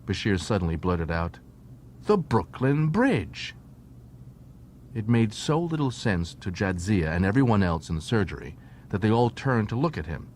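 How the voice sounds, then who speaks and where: A man with a low voice narrates an audiobook in a dramatic, scripted style. A faint noise and rumble runs underneath the reading. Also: animated, fairly quick, a little mellow. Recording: noisy track with low rumble.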